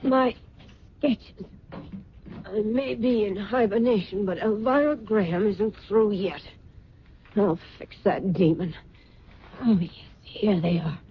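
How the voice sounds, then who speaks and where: A dull old radio recording with faint noise carries a proud, middle-aged woman who believes herself cursed. She speaks slowly and theatrically, with bitter sarcasm and anguish in the radio drama manner. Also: mid-range voice. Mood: horrified despair. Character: proud, embittered middle-aged mother, a designer cursed with disfigurement.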